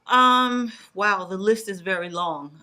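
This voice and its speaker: A woman in her forties speaks in a bright, forceful voice at a middle pitch. She gives personal testimony like an evangelist, repeating phrases for emphasis. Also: takes it slowly. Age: 40s. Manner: emphatic, repetitive religious phrasing. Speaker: guest evangelist and student.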